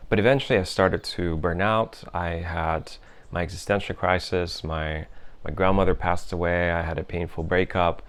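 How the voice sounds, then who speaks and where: A young man telling his life story in a thoughtful, unhurried way, with many fillers and restarts. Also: low voice, fairly even intonation, clear, resonant tone. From Europe, raised in several countries. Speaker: host. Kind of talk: reflective personal monologue.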